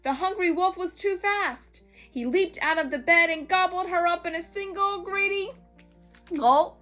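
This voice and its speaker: A woman storyteller reading a children's tale in an expressive, dramatic, emphatic style. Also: very high voice, unhurried, soft background music.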